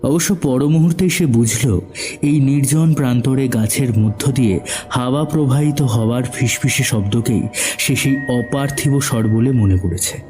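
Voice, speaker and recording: Male narrator for suspense audio story: mellow, dark tone, mid pitch, soft music underneath. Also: moderate pace.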